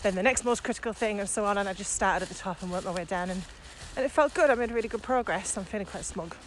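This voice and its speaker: A woman's voice: mid-range voice, quick pace. A woman co-hosting a casual podcast, explaining ideas in conversation while out walking. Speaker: podcast co-host. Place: outdoors, on a village walk. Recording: noisy outdoor recording with ambient sound.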